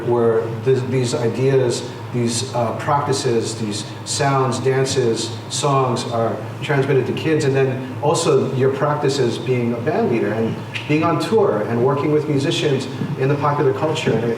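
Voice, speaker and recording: A man moderating a panel, asking long questions with thoughtful, halting phrasing and many fillers. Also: mid-range voice. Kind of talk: panel discussion. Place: lecture room on stage. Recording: very echoey room, distant mic, noisy.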